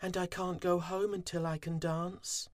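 Guy doing a mopey little bee boy, high and soft, sighing everything. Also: level tone, measured pace, no room sound. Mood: mournful.

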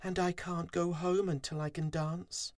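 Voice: mid-range voice, takes it slowly, a little mellow, no room sound, studio quality, voice pushed up, natural voice. A storybook narrator voicing a sad, timid little male bee with a trembling, moaning delivery. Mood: forlorn.

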